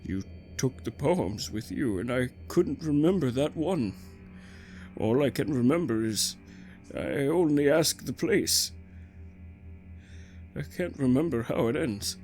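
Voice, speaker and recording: A man's voice: medium pitch, expressive, takes it slowly, pauses often, a little mellow. Guy doing frail old condemned man, anxious and faltering, radio play, music behind. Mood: anxious relief. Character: frail, desperate, forgetful old condemned prisoner. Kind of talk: audio drama dialogue.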